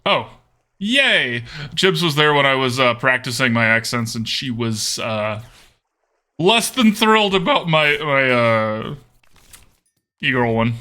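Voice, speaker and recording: A man's voice: mid-range voice, big pitch swings, takes it slowly, bright, forceful tone, clear, resonant tone. A man streaming a card game, chatting casually and playfully with viewers as he comments on his moves. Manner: putting on an accent for fun.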